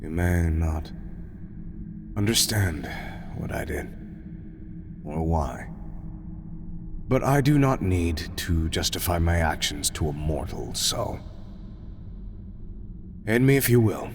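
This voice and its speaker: A man performs a proud, grieving god with a very deep voice that ranges widely in pitch. He speaks in solemn, formal phrases with breathy softness, in a fantasy audio drama. Noisy ambience sits around the voice. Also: animated, slow, long pauses, exaggerated voice. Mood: weary, mournful. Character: weary, proud male god, grieving and resolute. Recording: clearly noisy, ambient background sound.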